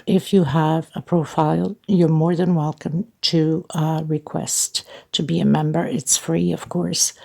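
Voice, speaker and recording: A woman addresses her viewers like a casual tutorial host. Her voice sits on the low side and is thick with creak. The melody stays rather flat and even, while the wording is chatty and informal. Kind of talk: casual spoken tutorial and channel announcements.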